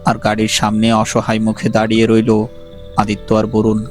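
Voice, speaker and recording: A man narrating a horror story in a grave, measured way, over music. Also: lowish voice, monotone.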